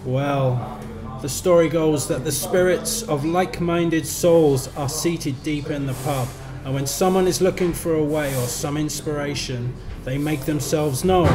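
A man's voice: a little high, measured pace, natural voice. A man reading the part of a chatty young pub bartender in a short story, telling a local legend in a friendly, matter-of-fact way over music. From England. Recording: music playing behind.

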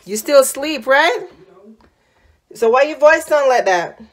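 Teasing Jamaican mom on phone cam. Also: female voice, high voice, expressive, takes it slowly. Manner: teasing, sassy, scolding with dry humor. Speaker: mother and vlogger. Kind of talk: casual family vlog. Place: small room and hallway of a house. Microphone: phone held at arm's length.

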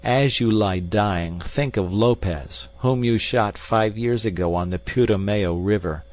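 A man's voice: lowish voice, measured pace, a little mellow, lightly acted. A man voicing a frenzied, vengeful villain who taunts and gloats theatrically in an audiobook adventure story. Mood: vengeful gloating.

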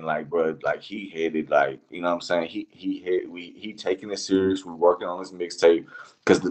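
Very deep-voiced man, casual and halting. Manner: halting, slangy, repeats phrases as he talks. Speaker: music producer being interviewed. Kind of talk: casual reflective interview chat.

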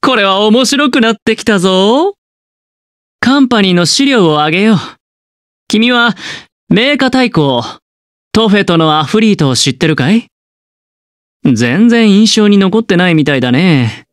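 A man voicing a smug, scheming young gambler in a Japanese anime game, with smooth, teasing, theatrical delivery. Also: high voice, expressive, no room sound, studio quality. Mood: amused, teasing. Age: young adult. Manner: smooth, theatrical, teasing, drawn-out dramatic pauses. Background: background game music.

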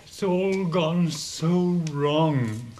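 A man's voice: fairly high pitch, lively intonation, extremely slow, a little mellow, slight background noise. An older man playing a despairing, idealistic headmaster near retirement in a British radio drama, earnest and mournful in an oratorical way. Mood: dejected.

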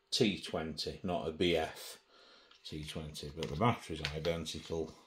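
A man's voice: sits low, a little hiss or hum. A middle-aged man giving a casual hands-on gadget demonstration, presenting indoors at a table.

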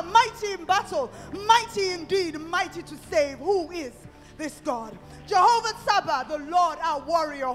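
A preacher with a very high voice builds a rhythmic sermon, circling back to the same question. The voice rings through a long-reverb hall. Soft music plays underneath. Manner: rhythmic preaching with repeated refrain.

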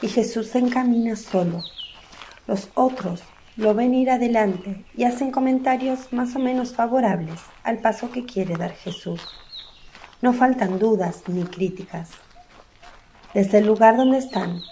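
A woman's voice: on the high side, measured pace, dark tone, slight background noise. A woman narrating a religious radio drama from a script. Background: faint music.

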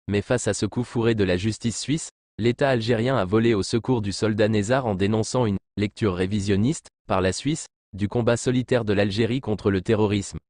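A man with a fairly low voice reads a scripted news commentary. His delivery is formal and literary, clearly read from a written text. The sound is dry, with little room around the voice. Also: a little mellow, dry recording. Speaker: news narrator.

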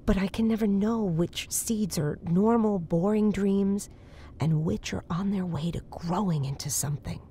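A woman's voice: medium pitch, warm tone, a little hiss or hum, lightly acted. An actress playing an earnest, uneasy woman psychic in a horror audio drama, speaking in a hesitant, naturalistic way. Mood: earnest, concerned. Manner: hesitant, halting. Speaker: voice actor.

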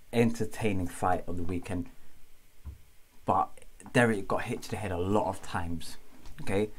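Young male vlog host, deep voice with a slight cough rasp, casual and chatty, filmed at desk distance. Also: a little mellow. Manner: chatty, keeps checking in with viewers, gestures a lot. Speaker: boxing channel host. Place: small home room with LED lights.

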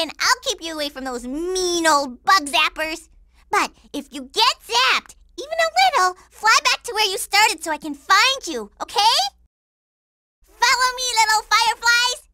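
Cartoon game role: sweet, playful little girl, very high squeaky voice, unhurried singsong delivery. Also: pushed and bright, full character voice. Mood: gentle, earnest. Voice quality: squeaky, childlike. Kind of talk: cartoon video game voice acting.

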